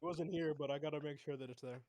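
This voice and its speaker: A man's voice: fairly high pitch, fairly even intonation, fast, no echo. A man chatting casually as a player in a tabletop game. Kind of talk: casual chat.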